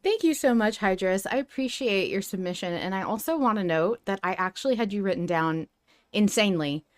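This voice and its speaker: A woman's voice: medium pitch, expressive, brisk. A woman hosting a podcast in casual, informal discussion. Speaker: podcast host.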